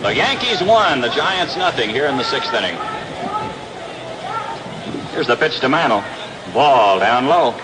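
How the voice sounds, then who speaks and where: A man's voice: medium pitch, noisy background. An American man calling baseball play-by-play in the style of a 1960s radio broadcast, recorded outdoors at a ballpark. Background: loud stadium ambience.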